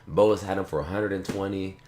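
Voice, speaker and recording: A relaxed man with a slightly low voice rambles through a casual tech unboxing, circling back and repeating himself. He has a slight cough. The sound comes from an echoey room. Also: a little fast, slight background noise. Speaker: tech channel host. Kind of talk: casual unboxing talk to camera.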